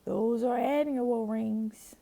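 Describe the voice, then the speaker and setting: A woman reading a storybook aloud and voicing a kindly, easygoing father bear in a gentle fatherly way. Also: fairly high pitch, slow, gravelly, exaggerated voice.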